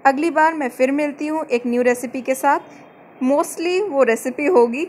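High-voiced woman narrating a home cooking recipe. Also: unhurried, slight background noise. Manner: calm, instructive, mixes English words into Hindi. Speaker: cooking channel host. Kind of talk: step-by-step cooking voice-over. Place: home kitchen.

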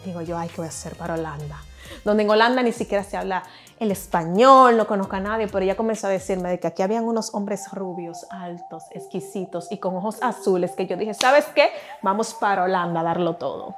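A woman's voice: medium pitch, fairly quick, soft background music. A young woman from the Dominican Republic telling her migration story in a chatty, laughing way, acting out the voices of people she quotes. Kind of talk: casual storytelling vlog.

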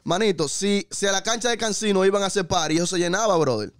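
A man's voice: sits high, fast talker, a bit bright, clean tone, no echo, clean studio sound. A man in his thirties with a Dominican Spanish accent, arguing his point assertively in a casual podcast conversation. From New Jersey, United States. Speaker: podcast guest, music artist. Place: small indoor podcast studio. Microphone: close studio microphone on boom arm.

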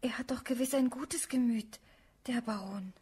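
An actress playing a naive, shy but stubborn young merchant's daughter in a radio drama, half-whispering. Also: female voice, a little high, moderate pace, a little mellow, slight background noise, close to an everyday voice. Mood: wistful, naive. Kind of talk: radio drama acting in German.

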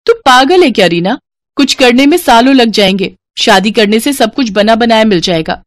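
A woman's voice: on the high side, lively intonation, normal speed, dry recording, clean studio sound, lightly acted. A woman voicing a scheming, impatient young orphan sister in a cartoon story, brash and dismissive. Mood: scornful.

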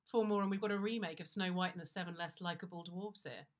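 A middle-aged British woman reading a comic first-person story in a conversational, wry and confiding way with expressive timing. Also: lowish voice, fast talker. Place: indoors, plain-walled room.

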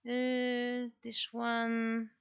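A woman chatting casually on a livestream as she works, thinking aloud in short, halting phrases. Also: on the high side, monotone, clean tone, no room sound, dull audio. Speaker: livestreamer and webcomic artist. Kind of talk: casual livestream chat while working.

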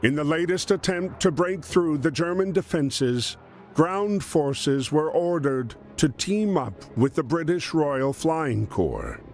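A man narrating a wartime history game in a solemn, measured, dramatic storytelling style. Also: a little high, big pitch swings, exaggerated voice. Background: music.

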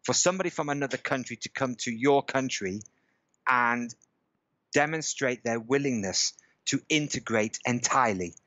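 A man's voice: medium pitch, normal speed, sharp tone, no echo, highs cut off. A man in his fifties, a guest on a video call from a room at home, telling a story casually and checking in often with "okay?" and "right?". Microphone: headset on a video call.

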